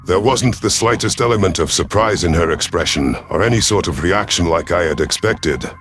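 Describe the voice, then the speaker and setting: A man voicing a proud, vengeful elderly alien reptilian commander in an audio drama, speaking in a formal, measured, sardonic way. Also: deep voice, a bit bright, soft background music. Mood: brooding. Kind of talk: audio drama first-person narration and dialogue.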